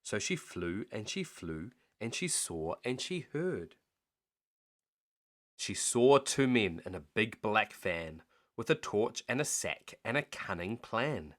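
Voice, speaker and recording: A man tells a children's story as a parent would at bedtime. His delivery is slow and rhythmic, with a lot of expressive rise and fall. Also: on the low side.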